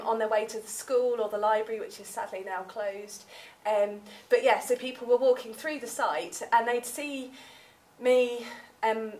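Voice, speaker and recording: A young woman with a southern English accent giving a chatty conference talk in a seminar room, full of ums, recorded from a far camera. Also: a little high. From southern England. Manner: chatty, many ums, gestures while speaking. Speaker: academic researcher presenting. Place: seminar room with projector screen. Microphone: far camera, room audio.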